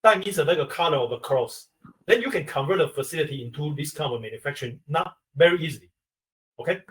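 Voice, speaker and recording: A man's voice: a little high, expressive. Taiwanese man, distant from the mic. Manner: explaining step by step in halting English. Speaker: industry expert guest. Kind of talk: webinar answer to a question.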